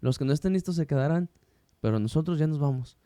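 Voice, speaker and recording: Male host, relaxed smooth tone, dry close mic, talkative with lots of restarts. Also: a little high, fast talker, dry recording. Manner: chatty, slangy, many restarts. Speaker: podcast host. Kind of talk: casual podcast conversation.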